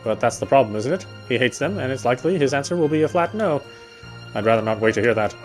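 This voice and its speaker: Fast-reading man narrating a game. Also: mid-range voice, lively intonation, faint music behind. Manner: stumbles and rereads phrases. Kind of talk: reading visual novel narration aloud.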